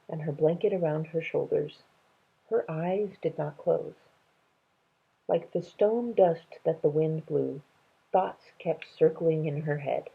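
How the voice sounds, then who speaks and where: A woman reading a children's story aloud in an expressive, unhurried way, as if to young listeners. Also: deep voice, creaky, breathy edge, phone-quality sound. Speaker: read-aloud reader.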